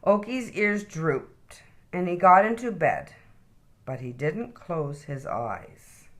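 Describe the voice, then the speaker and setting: A woman reading aloud as a children's storytime host, in expressive storybook narration. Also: on the low side, slow pace.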